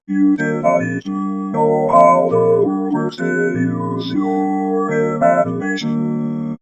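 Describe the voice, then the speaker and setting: A woman voicing an eerie entity in a horror broadcast, soothing yet ominous. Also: very deep voice.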